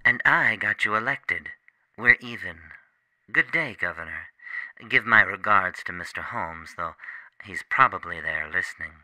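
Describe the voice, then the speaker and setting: A man's voice: deep voice, expressive, unhurried, bright, forceful tone, no room sound, exaggerated voice, low-quality recording. A man playing a cool, menacing criminal professor in a radio drama, curt and coldly polite. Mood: cool menace.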